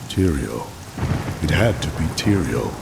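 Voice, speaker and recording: A man voicing a grim, determined warrior with a deep, gravelly voice. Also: sing-song, a little slow, exaggerated voice. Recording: game mix with rain noise.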